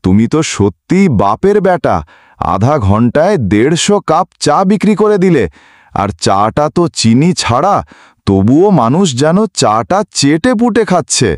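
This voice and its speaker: A man's voice: on the high side, very animated, measured pace, dry recording, studio quality. A man voicing a boastful, menacing djinn who runs a tea stall in a cartoon, in a theatrical, taunting manner. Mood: amazed, admiring.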